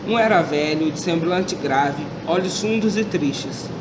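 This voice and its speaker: A man's voice: on the high side, unhurried, noisy background. A Brazilian man narrating a student radio drama in a careful read-aloud way. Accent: Brazilian Portuguese.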